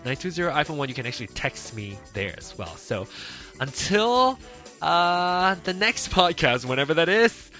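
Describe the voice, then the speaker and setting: A man's voice: a little high, sing-song, normal speed, pushed and bright, faint music behind. A man in his late thirties hosting a casual solo podcast, rambling and chatty and laughing often as he talks. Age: 30s. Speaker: podcast host.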